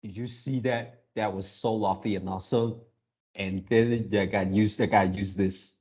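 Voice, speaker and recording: A man hosting a casual fan reaction video, gushing excitably in halting, drawn-out phrases. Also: fairly low pitch, breathy edge.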